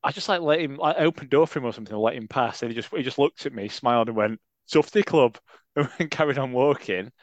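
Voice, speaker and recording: A man with a Sheffield accent, co-hosting a casual football podcast, self-deprecating and quick to laugh. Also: mid-range voice, dry recording, highs cut off. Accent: Sheffield English. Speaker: podcast co-host. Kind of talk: casual chat.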